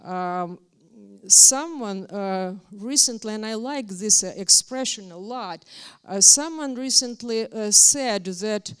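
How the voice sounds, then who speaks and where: A woman's voice: medium pitch, expressive, slow pace, clean tone. A woman from Ukraine, speaking as a guest at a university talk in an earnest, emphatic way. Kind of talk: university lecture.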